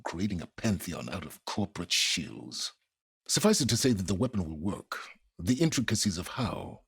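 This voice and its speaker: An actor playing an arrogant, secretive older scholar in a video game, gravelly and pompous, with deliberate, condescending formal phrasing. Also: male voice, deep voice, no echo, studio quality, exaggerated voice. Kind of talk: video game dramatic acting.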